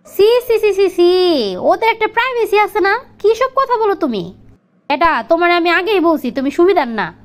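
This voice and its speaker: A woman's voice: very high voice, expressive, moderate pace. A woman voicing a bossy, argumentative young wife in a comic cartoon, with a Bangladeshi accent and colloquial scolding delivery. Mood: indignant. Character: bossy, demanding young wife devoted to her girlfriends.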